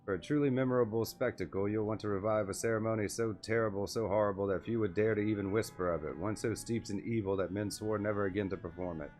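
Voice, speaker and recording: Casting: male player as stern old game sorceress, sardonic, haughty, lowish register, faint music behind. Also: steady tone, fast, hardly any pauses, darkish tone, close to an everyday voice. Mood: sardonic, ominous. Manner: dry, haughty, wordy. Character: crabby, intimidating old female sorcerer, dry and sardonic.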